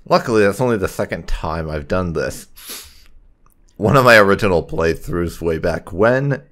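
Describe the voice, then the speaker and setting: A man's voice: low-pitched, animated, normal speed, fairly bright tone. A man giving casual let's play commentary, rambling and musing to himself as he goes. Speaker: gaming video host.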